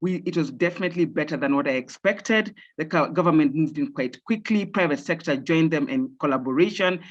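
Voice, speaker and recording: A woman speaks as a podcast guest. She answers in an earnest, steady way and often repeats a word to stress it. The audio sounds dull and lo-fi, as if it came over a remote link. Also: sits low, a little fast. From Kenya. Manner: earnest, repeats words for emphasis. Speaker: podcast guest, software director. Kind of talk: conversational interview answers.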